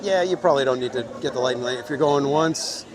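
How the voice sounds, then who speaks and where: A man's voice: medium pitch, quick pace, low-quality recording. Man, live stream host, casual joking chat, outdoors, crowd noise behind. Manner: chatty, joking, reads chat names aloud. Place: outdoors in a crowded theme park.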